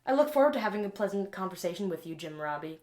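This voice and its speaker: A woman's voice: medium pitch, expressive, fast talker, a little mellow, lightly acted. A woman playing a smug, scheming inventor villain in an audio drama, gloating with mock sympathy. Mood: smooth, scheming.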